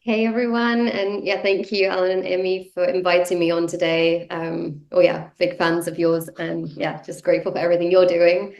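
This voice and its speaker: A woman's voice: lowish voice, level tone, moderate pace, gravelly, hardly any pauses, darkish tone, dull audio. A woman, giving a casual personal talk while sniffly from a cold, with many ums and yeahs. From North Yorkshire, England. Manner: conversational, many fillers like um and yeah. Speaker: guest speaker, activist. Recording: roomy echo.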